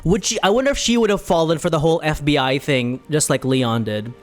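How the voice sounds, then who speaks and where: A woman streamer giving casual, playful and excitable commentary as she plays a video game, sometimes swearing. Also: low-pitched, a little fast, faint music behind. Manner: playful, excitable, profane, given to silly repetition. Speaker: streamer reacting while playing a video game.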